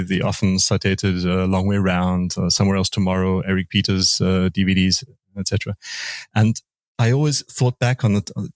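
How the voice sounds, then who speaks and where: A man in his forties, from Austria and raised in South Africa, talking as an interview guest, halting with many restarts and repeated words. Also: on the low side, a little mellow, dry recording. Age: 40s. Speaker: guest, motorcycle rider. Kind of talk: casual interview conversation.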